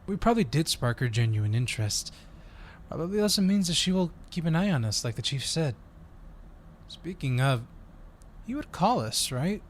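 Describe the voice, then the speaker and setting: A man's voice: medium pitch, a little hiss or hum, close to an everyday voice. A man playing an eager, friendly undercover agent in an audio roleplay, talking in a polite, chatty way. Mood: relaxed, playful.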